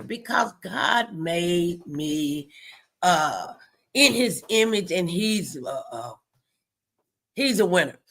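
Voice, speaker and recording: Female motivational host, faith-based exhortation. Unhurried, bright and forceful, emphatic, talks straight to the viewer. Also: fairly low pitch. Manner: emphatic, repetitive, addresses viewers directly. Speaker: host of a morning motivation live stream.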